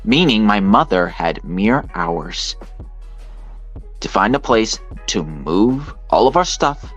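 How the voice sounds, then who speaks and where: Low-voiced man with formal, wordy delivery. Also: sing-song, slow pace, a bit bright, husky, dull audio, faint music behind. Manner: wordy, formal, pointed phrasing. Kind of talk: monologue addressed to camera and audience.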